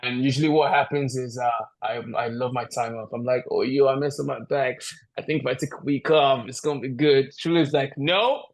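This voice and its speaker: Guy from nigeria, powerlifter, chatting easy and jokey on a video call, mid pitched voice. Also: fairly even intonation, fairly quick. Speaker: powerlifter, podcast guest. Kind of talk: casual interview chat. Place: indoor room at home, video call. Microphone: video call, device at a short distance.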